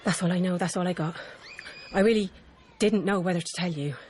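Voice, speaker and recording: Actress as an awkward, rambling teacher colleague. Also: female voice, fairly low pitch, brisk, a little mellow, slight background noise, natural voice. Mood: awkward, confiding. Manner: hesitant, rambling, apologetic. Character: awkward, well-meaning, nervous female teacher colleague. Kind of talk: naturalistic radio drama acting.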